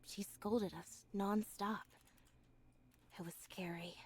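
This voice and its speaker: A soft-spoken young female magician's assistant from a fantasy game. She talks in a composed, measured way and keeps her feelings understated and reserved. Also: mid-range voice, steady tone, slightly rough. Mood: matter-of-fact. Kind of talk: scripted video game character voice lines.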